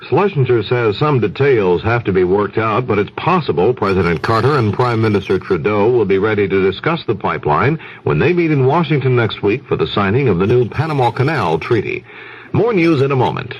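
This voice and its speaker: An American man anchors a scripted radio newscast. His pitch moves in an animated way and has a husky edge. The sound is dull and band-limited, like a 1970s radio broadcast. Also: a little fast. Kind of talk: scripted news reading.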